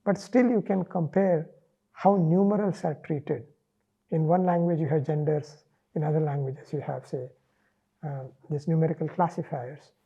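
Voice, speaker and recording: A middle-aged man from India, a native Marathi speaker with an Indian English accent, talking in an interview in a measured, thoughtful way with many pauses. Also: fairly high pitch, fairly even intonation, dark tone, husky, dull audio. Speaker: interviewee, linguist. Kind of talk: relaxed reflective interview.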